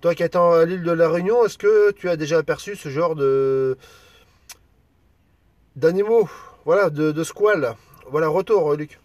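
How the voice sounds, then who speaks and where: A Frenchman chatting casually as an amateur radio operator, in halting, colloquial phrases. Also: fairly high pitch, darkish tone, no room sound, dull audio.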